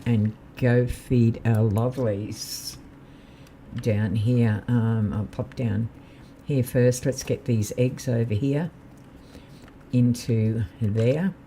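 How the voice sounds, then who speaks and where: A man with a slightly croaky voice, chatting casually and thinking aloud while he live-streams a game. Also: medium pitch, warm tone, a little hiss or hum.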